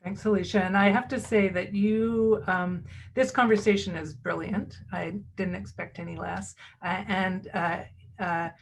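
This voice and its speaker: Halting woman moderator with a gravelly voice. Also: medium pitch, fairly even intonation, a little hiss or hum, poor audio. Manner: halting, hesitant, with many pauses. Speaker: panel moderator. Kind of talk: moderating a video-call panel.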